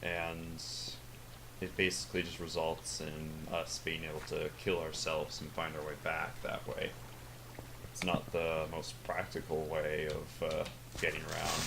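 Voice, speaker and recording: A teenage boy with a deep voice that sounds older than his age, talking over his gameplay in a rambling, hesitant way full of fillers and self-corrections. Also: completely flat delivery, unhurried, creaky, heavy background noise. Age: teens. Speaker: gaming channel host. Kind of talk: casual gameplay commentary.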